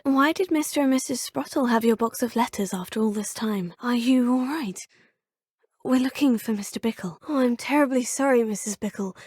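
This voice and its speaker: An earnest, breathy witch student. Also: on the high side, darkish tone, no room sound. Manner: courteous and composed, often saying sir. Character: polite, curious teenage witch student, steady and earnest. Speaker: game player character. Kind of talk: video game voice lines. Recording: dry studio recording.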